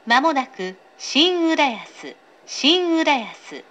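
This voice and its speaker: A woman's voice: high voice, very animated, drawn-out words, fairly bright tone, lo-fi sound. A woman reading a scripted, pre-recorded train announcement in a polite, formal, carefully enunciated way. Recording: recorded aboard a train, clear background noise.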